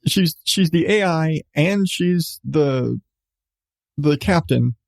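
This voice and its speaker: A man hosting a podcast, giving a casual, rambling review with halting starts, repeated phrases and an irreverent, sweary manner. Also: on the high side, expressive, gravelly, dry recording, studio quality.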